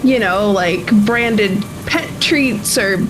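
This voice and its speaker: A woman playing a tabletop roleplaying game, casually improvising her actions in a halting, nervous-sounding way. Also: mid-range voice, music in the background, low-quality recording. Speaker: tabletop RPG player.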